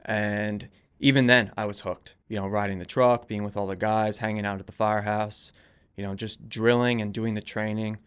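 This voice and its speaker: A man in his twenties with a clean, smooth voice on the low side. He talks as a podcast guest, telling stories informally and feeling his way through phrases in a casual conversational style. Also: flat delivery, normal speed. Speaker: podcast guest, volunteer firefighter and police dispatcher. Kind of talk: casual conversational interview answers.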